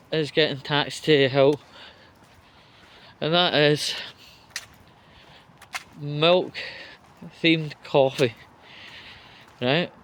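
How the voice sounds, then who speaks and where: A man giving an off-the-cuff commentary rant, sweary and sardonic, speaking in short halting phrases. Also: a little high, a bit bright, slight background noise. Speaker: vlogger giving political commentary.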